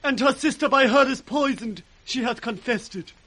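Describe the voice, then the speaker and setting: An actor playing a panic-stricken British gentleman messenger bearing dreadful news in radio Shakespeare, with breathless urgency. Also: extremely high pitch, moderate pace, heavily acted. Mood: panicked horror.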